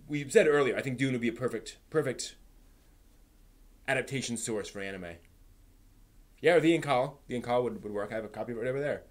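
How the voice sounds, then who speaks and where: A man hosting a casual live stream, thinking aloud in a halting, rephrasing way while giving emphatic opinions. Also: mid-range voice. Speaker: livestream host. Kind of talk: casual live-stream chat, answering viewers' comments.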